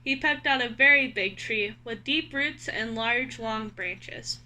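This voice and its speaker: A woman's voice: on the high side, slow, sharp tone. A woman reading a children's story aloud, expressively, and putting questions to young listeners. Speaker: read-aloud host.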